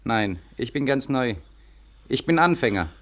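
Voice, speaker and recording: A man's voice: mid-range voice, expressive, unhurried, natural voice. A man acting a bitter, desperate war returnee of twenty-five in a 1940s German radio drama, insistent and plaintive. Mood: bitter, resigned. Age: young adult. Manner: plaintive, insistent, with repeated phrases.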